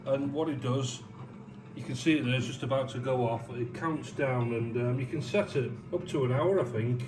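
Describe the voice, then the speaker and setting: A man's voice: mid-range voice, moderate pace. An English man casually demonstrating something hands-on, explaining in a colloquial, matter-of-fact way. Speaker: restorer of vintage arcade machines presenting the work. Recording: roomy, distant mic with clear background noise.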